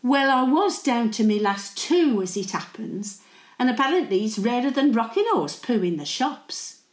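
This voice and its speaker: An older woman playing a chatty, cheeky, warm-hearted working-class Birmingham great-grandmother, gossiping and rambling through a monologue. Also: on the high side, lively intonation, normal speed, reverberant, lightly acted. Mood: gossipy. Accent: Birmingham (Brummie). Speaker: actor performing a dramatic monologue.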